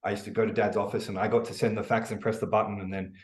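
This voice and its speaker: Aussie guy rattling on fast on a podcast, kinda low voice, lots of ums. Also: slight background noise. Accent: Australian. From Australia. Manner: energetic storytelling, quick with many fillers. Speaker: guest, e-commerce educator. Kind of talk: podcast interview.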